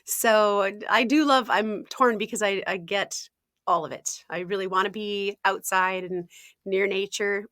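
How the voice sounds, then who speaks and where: A woman, a health coach talking casually in an interview, often laughing, clearing her throat and using fillers. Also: medium pitch, steady tone, unhurried, a bit bright, no room sound. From Ontario, Canada.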